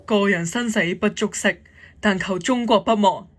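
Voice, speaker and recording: Earnest young male commander, played by an amateur, radio play, declaiming, resolute, mid pitch. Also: moderate pace, close to an everyday voice. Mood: grave, resolute. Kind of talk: amateur Cantonese radio drama acting.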